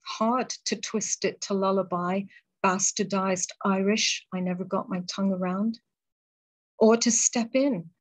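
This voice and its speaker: A woman poet reading her poems aloud and talking between them, in a measured, deliberate way with pauses between phrases. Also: medium pitch, fairly even intonation. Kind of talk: poetry reading.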